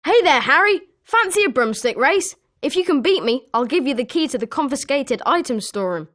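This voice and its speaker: A woman voicing an excitable young British schoolboy wizard in a video game, with animated scripted delivery. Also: sits high. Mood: challenging. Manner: animated, expressive.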